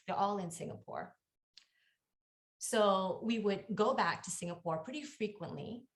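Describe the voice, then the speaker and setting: A young woman from Malaysia, Malay her first tongue, shares her story over a video call. Her voice sits low and she takes her time, filling pauses with ums and uhs. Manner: warm, unhurried, frequent ums and uhs. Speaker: workshop facilitator.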